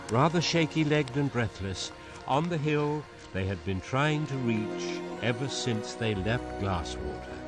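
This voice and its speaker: A man narrates a fantasy radio drama in a somewhat low, unhurried voice, shaping each phrase like a storyteller. A prominent musical score runs beneath his reading throughout.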